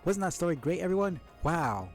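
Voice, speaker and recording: A pushy young troll girl from a webcomic, as a man puts her on, pitched up high. She gushes with insincere flattery, scheming and overeager, as music plays. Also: fairly quick. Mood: gushing. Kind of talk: webcomic character read-aloud.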